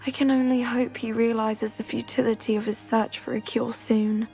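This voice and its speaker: An actress playing a tired, gentle young witch suffering from a curse, speaking softly with sighs. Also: on the high side, flat delivery, moderate pace, vocal fry, faint music behind. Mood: weary. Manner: soft, tired, sighing. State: weary. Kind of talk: video game cutscene acting.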